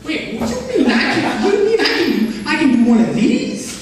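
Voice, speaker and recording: A man voicing a swaggering, stereotyped Italian-American man with loud, exaggerated bravado. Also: very high voice, very animated, moderate pace, a crowd in the background, pitched above the performer's own voice. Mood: brash. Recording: echoey club room, distant mic.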